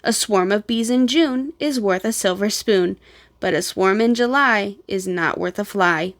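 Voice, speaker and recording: Lady reading as storybook grandpa, kindly old man, gentle, explains things to the kids. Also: medium pitch, unhurried, natural voice. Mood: explaining. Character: kindly old grandfather, reassuring and knowledgeable with children.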